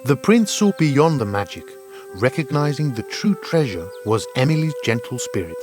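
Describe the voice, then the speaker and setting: A man's voice: mid-range voice, very animated, normal speed, darkish tone, faint music behind. A man narrating a scripted fairy tale in an earnest, dramatic storytelling manner.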